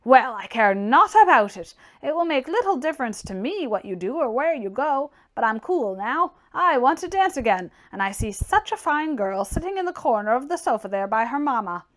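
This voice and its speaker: A woman's voice: sits high, big pitch swings, normal speed, few pauses, a bit bright. A woman voicing a blunt, opinionated, scornful young tradesman in an audiobook, with a brusque, mocking delivery and emphatic exclamations. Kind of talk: dramatized audiobook character reading.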